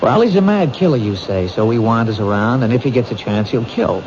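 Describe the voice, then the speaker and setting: A man's voice: mid-range voice, a little fast, close to an everyday voice. A man playing an eager young detective. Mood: reasoning. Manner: earnest, questioning, animated. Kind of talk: 1970s radio drama acting. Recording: dull noisy old radio broadcast.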